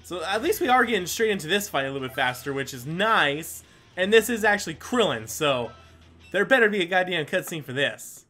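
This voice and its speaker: High-pitched, animated male gamer. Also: brisk, faint music behind. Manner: rambling, thinking aloud. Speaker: gaming video host. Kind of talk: casual let's play commentary.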